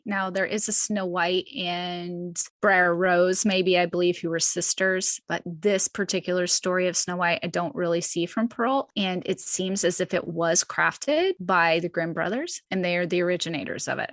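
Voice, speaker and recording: A woman's voice: on the low side, a bit bright, dry recording. A woman co-hosting a podcast, telling stories in a casual, informal and chatty way with emphatic repetition. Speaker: podcast co-host. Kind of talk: casual storytelling chat.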